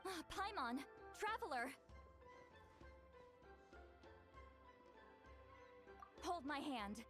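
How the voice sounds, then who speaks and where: A woman's voice: high-pitched, big pitch swings, a little slow. An actress playing a proud, earnest shaman woman from a fantasy game, youthful in voice though centuries old. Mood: urgent. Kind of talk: dramatic fantasy game voice acting. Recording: music behind the voice.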